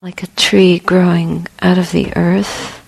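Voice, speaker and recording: Slow, husky woman guiding meditation. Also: on the low side, little pitch movement, poor audio. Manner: gentle, unhurried, with long pauses. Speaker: Buddhist meditation teacher. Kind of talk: guided meditation.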